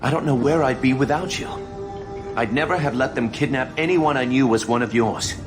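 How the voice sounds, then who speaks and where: A man's voice: mid-range voice, a little fast, natural voice. A man playing an anxious, earnest rebel conspirator in a video game drama, pleading and defensive. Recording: loud music behind the voice.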